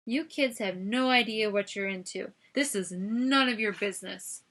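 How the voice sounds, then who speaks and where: A woman's voice: medium pitch, moderate pace, a bit bright. Woman playing a barking, menacing security guard. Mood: angry. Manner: barking, threatening. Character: gangly red-haired male security guard, menacing.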